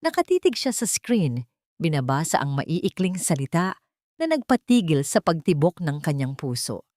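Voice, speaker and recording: Female audio drama narrator: solemn scripted delivery, slightly deep voice, dry studio-like sound. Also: sing-song, moderate pace, mellow, no room sound.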